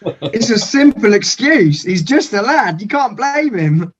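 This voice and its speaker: A man's voice: high voice, sing-song, unhurried, dull audio. A man in his thirties chatting easily as a podcast guest. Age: 30s. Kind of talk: casual group chat.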